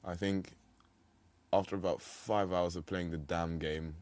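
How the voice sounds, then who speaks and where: A young man with a low voice hosts a gaming playthrough. He rambles casually, throwing in playful slang and mild swearing. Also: steady tone, measured pace, darkish tone. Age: young adult. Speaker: let's play host. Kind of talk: casual video game commentary.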